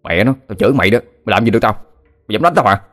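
A man voicing a hot-tempered, foul-mouthed young fisherman, shouting and cursing. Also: on the low side, fairly even intonation, rapid-fire, faint music behind, natural voice. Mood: furious.

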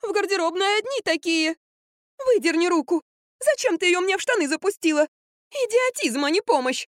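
A woman's voice: extremely high pitch, animated, normal speed, no room sound, clean studio sound, voice pushed up. A woman voicing a whiny, melodramatic young actress in an audiobook, groaning and strained as she wails. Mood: indignant, panicky. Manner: wailing, melodramatic.

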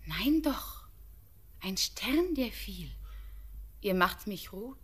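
An actress playing an innocent, tender young village girl in a classic radio drama, speaking lyrical, earnest with hushed phrases. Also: mid-range voice, sing-song, drawn-out words, husky, exaggerated voice. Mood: bashful. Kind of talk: classic German radio drama, poetic stage diction.

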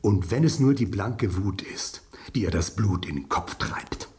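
Pompous talking spoon in a radio drama, lowish pitch, melody all over the place. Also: male voice, lively intonation, a little slow, darkish tone. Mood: self-important, savoring. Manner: pompous, savoring detailed descriptions. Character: talking soup spoon, proud gourmet with refined taste. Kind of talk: German radio play acting.

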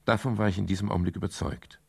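Brooding private eye for radio crime drama: very deep, husky, measured literary narration, melancholy. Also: male voice, steady tone, darkish tone, full character voice. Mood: pensive, melancholy. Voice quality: gravelly, husky. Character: brooding male private detective narrating his own case. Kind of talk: 1970s German radio crime drama, first-person narration.

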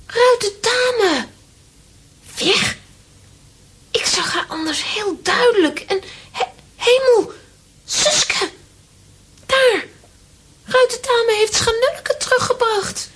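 Excitable, very high-pitched little girl. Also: takes it slowly, pauses often, heavily acted. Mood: startled, tearful. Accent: Flemish. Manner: exclamatory, dramatic. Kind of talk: children's audio drama acting.

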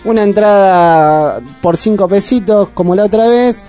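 A man's voice: sits high, measured pace, warm tone, faint music behind. A man with an Argentine accent hosting a community radio show, talking in a rambling, chatty way. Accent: Argentine Spanish. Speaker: radio host. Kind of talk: casual radio chat.

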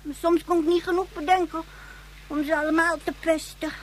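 An actress playing a bratty, callous child in a radio drama, petulant and blunt. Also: female voice, extremely high pitch, little pitch movement, a little fast, a little hiss or hum. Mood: defiant, spiteful. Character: bratty, callous child leader, spiteful and defiant.

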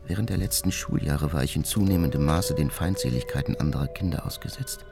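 An actor playing a brooding, resentful, coldly self-important genius who recounts his life in a measured, formal monologue for a radio documentary. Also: rumbling low, gravelly. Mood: proud, brooding. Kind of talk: dramatized first-person monologue in a radio documentary. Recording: studio voice with music underneath. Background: music.

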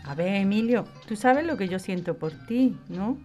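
A woman's voice: mid-range voice, expressive, normal speed, darkish tone, soft background music, natural voice. An actress as a frank, affectionate older Spanish woman. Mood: fond, frank. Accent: Spain. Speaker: actor in an audio drama. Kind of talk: podcast radio drama acting, conversational.

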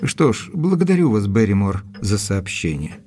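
An actor playing an earnest gentleman doctor in an audio drama, narrating and questioning in a measured, serious, deliberate way. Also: on the low side, very animated, a little hiss or hum. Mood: probing, earnest.

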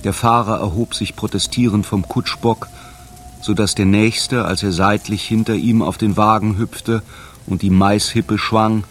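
A man narrates literary prose for a radio drama. His voice is on the low side, and there is faint noise in the background of the recording.

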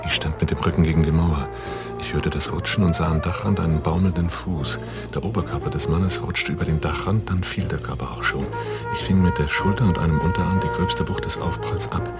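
A man's voice: low voice, fairly quick, loud music behind the voice. A man acting a terse, hard-boiled gunrunner in a German radio drama, with a laconic way of talking. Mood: grim. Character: terse, hard-boiled male gunrunner telling his story.

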